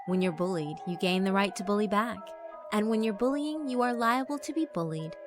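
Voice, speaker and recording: A woman's voice: medium pitch, lively intonation, a little fast, over music, close to an everyday voice. A woman voicing a sinister spirit girl who gloats and mocks as she feeds on bullying. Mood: gloating. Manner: gloating, mocking, laughs to herself.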